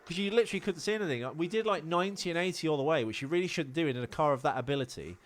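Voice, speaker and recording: A man's voice: a little high, rapid-fire. A British man hosting a podcast in casual, sweary and self-deprecating banter. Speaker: podcast host.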